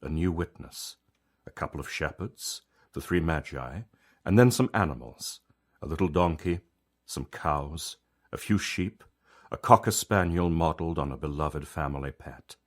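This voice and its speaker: A man narrating a written story as its author, in a measured, reflective and conversational storyteller's manner. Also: very deep voice, animated. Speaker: author reading own story.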